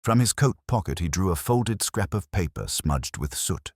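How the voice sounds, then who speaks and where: A man narrating a scripted mystery story as an audiobook, in a measured, literary and solemn manner. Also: deep voice, lively intonation, a little mellow, slightly rough, no echo, studio quality.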